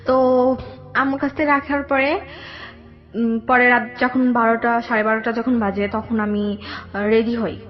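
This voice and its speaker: High-voiced young Bangladeshi woman, halting storyteller. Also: level tone, dull audio, faint music behind. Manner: halting, rambling, circling back over details. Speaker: guest telling her life story. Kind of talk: conversational personal storytelling.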